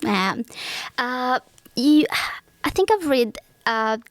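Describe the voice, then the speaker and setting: A woman's voice: high voice, very animated, slow pace, no echo. A woman hosting a podcast interview, encouraging her guest with many short affirmations. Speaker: podcast host.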